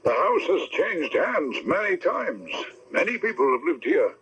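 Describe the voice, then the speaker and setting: An actor playing a folksy, matter-of-fact haunted-house explainer in a radio drama. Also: male voice, sits high, big pitch swings, measured pace, a bit bright, husky, full character voice. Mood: knowing, reassuring. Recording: noisy, dull 1970s radio drama.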